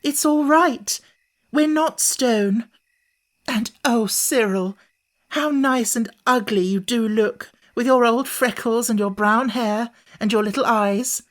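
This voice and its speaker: A woman's voice: a little high, slow, pitched above the performer's own voice. A woman voicing an earnest young girl in a children's storybook, speaking with emphatic feeling. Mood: tearful joy.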